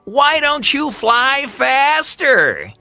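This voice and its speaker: A storyteller voicing a small, boastful, playful male hummingbird in a children's fairy tale, with an excitable, teasing, sing-song delivery. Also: sits high, very slow, gravelly, no echo, soft background music, pitched above the performer's own voice, exaggerated voice.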